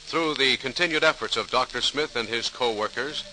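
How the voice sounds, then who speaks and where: An American man narrates an old-time radio programme in a formal, dramatic style. His mid-pitched voice is very bright and forceful. The aged recording carries a faint sizzle of hiss. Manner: formal, dramatic, measured phrasing. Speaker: announcer and narrator. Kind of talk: 1940s radio scripted narration. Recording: hissy, crackly 1940s radio recording.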